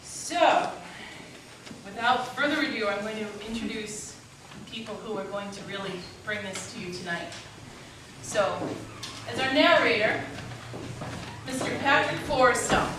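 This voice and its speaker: Female event host, higher-pitched, informal and grateful, heard far from the mic in a noisy hall. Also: unhurried, long pauses. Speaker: producer-director introducing a performance. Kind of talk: informal welcome speech to a live audience. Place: meeting house hall with live audience. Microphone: distant microphone. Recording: echoey hall, distant mic, noisy audience.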